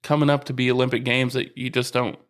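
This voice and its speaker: A man's voice: medium pitch, flat delivery, quick pace. A man co-hosting a podcast in casual chat, easygoing and keen on sports. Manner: easygoing, talks sports with a fan's enthusiasm. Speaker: podcast co-host.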